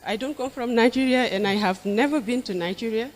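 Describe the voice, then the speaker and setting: A young woman from Senegal answers a host's questions about her teaching work. She sits a little high in her range and speaks thoughtfully, pausing to restart her sentences and laughing softly now and then. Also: rough recording. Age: young adult. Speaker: guest, English teacher. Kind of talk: casual interview answers.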